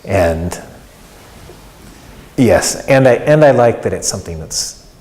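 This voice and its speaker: A man giving casual interview answers in a halting way, as if thinking aloud, about his hobby as an amateur performer. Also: fairly low pitch, lively intonation, darkish tone. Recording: long echoing hall reverb.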